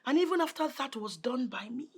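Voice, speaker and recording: A woman playing a stern, husky-voiced scolding mother. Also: high voice, animated, brisk, heavily acted. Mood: reproachful. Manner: stern, reproachful. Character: stern mother figure scolding a lazy girl.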